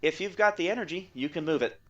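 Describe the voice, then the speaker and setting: A man playing an excitable scientist in an audio drama, explaining technical things in a wry way. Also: a little high, fast talker, muffled recording, natural voice. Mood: eager. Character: excitable male scientist explaining space physics.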